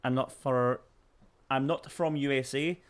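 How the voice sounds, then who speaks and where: A man's voice: medium pitch, steady tone, a little slow, clear, resonant tone. A Scottish man streaming a puzzle game, chatting casually, reading out chat messages and talking to his dog. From Scotland. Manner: chatty, reads chat aloud, coos at his puppy.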